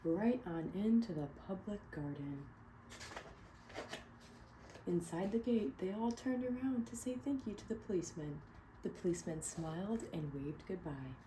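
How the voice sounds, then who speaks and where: A woman reading a picture book aloud to children, pausing to ask them questions. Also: on the low side, expressive, background noise. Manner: gentle, asks children questions. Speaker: read-aloud storyteller.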